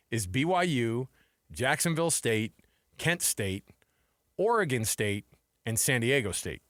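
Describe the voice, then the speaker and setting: A man's voice: medium pitch, a bit bright, no room sound, studio quality. An American man hosting a sports show in casual, colloquial back-and-forth talk.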